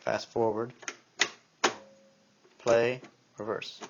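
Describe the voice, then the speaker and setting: A man's voice: mid-range voice, little pitch movement, drawn-out words. Male vlogger, offhand and unscripted, talking half to himself; dull camera-mic sound with faint noise. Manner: musing aloud to himself while handling gear. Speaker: vlogger showing a collection of tape recorders. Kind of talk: casual unscripted show-and-tell. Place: indoor room at home. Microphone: handheld camera microphone. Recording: dull, noisy handheld camera sound with clicks.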